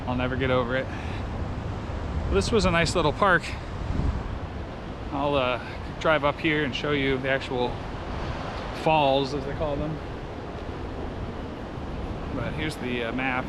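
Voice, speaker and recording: A man's voice: mid-range voice, measured pace, pauses often. A man chatting casually to viewers in an enthusiastic, rambling way, like a hobbyist vlogger. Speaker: amateur radio vlogger. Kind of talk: casual vlog chat to viewers. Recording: clear outdoor ambient noise, passing cars.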